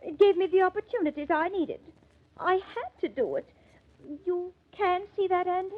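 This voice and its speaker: A woman's voice: very high voice, moderate pace. An actress playing a nervous, self-justifying social climber, pleading her way through 1950s radio melodrama. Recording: muffled old radio recording.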